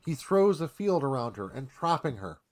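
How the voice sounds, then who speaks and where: A man's voice: medium pitch, very animated, normal speed, darkish tone. A man reading scripted stage directions as the narrator of a live radio theatre performance.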